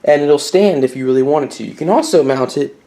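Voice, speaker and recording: A man's voice: on the high side, fairly quick, mellow. A man giving a casual, unscripted show-and-tell as a hobbyist video host, rambling a bit and correcting himself with mild enthusiasm.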